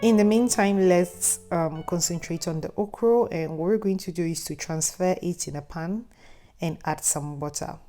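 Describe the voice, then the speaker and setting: A woman's voice: lowish voice, normal speed, dark tone, soft background music. A young woman with a Ghanaian accent, hosting a cooking tutorial in a friendly, instructive voice-over with chatty asides. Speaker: cooking channel host.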